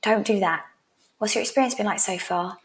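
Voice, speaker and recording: A woman's voice: mid-range voice, fairly quick. A woman in her thirties presenting as a sales coach in an instructional style, direct and encouraging, speaking into a headset mic. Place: small room at home, indoors.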